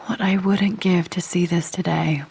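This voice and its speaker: An actress playing a wistful young woman exploring her family's old manor in a video game, musing to herself in a hushed English accent. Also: fairly low pitch, steady tone, normal speed, creaky, slightly rough, full character voice, low-quality recording. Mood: wistful, curious. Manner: hushed, reflective.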